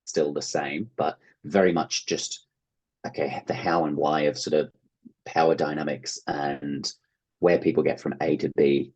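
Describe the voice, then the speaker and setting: A man's voice: extremely low pitch, a little mellow, husky. An Australian man speaking casually as an interview guest, rambling and hedging as he goes. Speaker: interview guest, playwright and actor.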